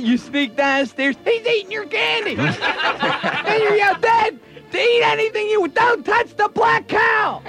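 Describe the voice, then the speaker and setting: A man playing an excitable, whiny station manager who rambles and gripes through a comedy monologue. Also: very high-pitched, gravelly, exaggerated voice. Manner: exasperated, rambling, blue-collar griping. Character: excitable, whiny male station manager doing comic commentary. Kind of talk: comedy monologue with acted-out shouts.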